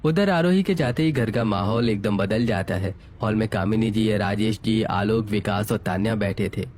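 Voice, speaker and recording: A man's voice: on the low side, normal speed, a little mellow, a little hiss or hum. A man narrating a scripted family story.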